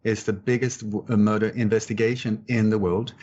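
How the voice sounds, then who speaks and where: A man in his sixties from Sweden speaks in a fairly low voice, answering questions with emphatic repetition and many false starts. The audio sounds dull and muffled. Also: fairly even intonation, brisk, darkish tone. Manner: emphatic, repeats words, frequent restarts. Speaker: guest researcher. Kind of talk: conversational interview answers.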